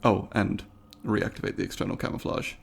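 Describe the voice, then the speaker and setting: A man's voice: fairly quick, lightly acted. A man voicing a polite, eager alien student in an ASMR roleplay, speaking formally and precisely to the listener in an inquisitive, apologetic way. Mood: friendly, brisk.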